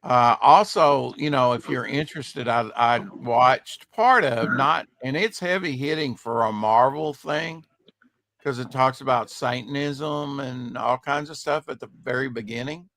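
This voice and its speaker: Rambling slightly raspy male host. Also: medium pitch, a little slow, fairly bright tone, no room sound. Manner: rambling, filler-heavy, trails off. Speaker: podcast host. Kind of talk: casual chat.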